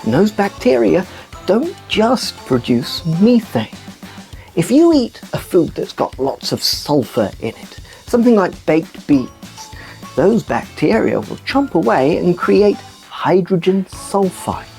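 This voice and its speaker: A woman voicing an enthusiastic puppet news presenter who explains science to children in an animated, emphatic storytelling way. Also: on the low side, slow pace, gravelly, soft background music, exaggerated voice. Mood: explanatory. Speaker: kids' show host. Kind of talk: scripted children's educational presenting.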